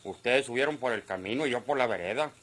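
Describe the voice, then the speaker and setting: A man's voice: mid-range voice, quick pace, a bit bright, lightly acted. An actor playing a nervous, evasive countryman with a hesitant manner and a rural northern Mexican accent in an old radio serial. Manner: hesitant, stammering. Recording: echoey, distant, very noisy radio recording.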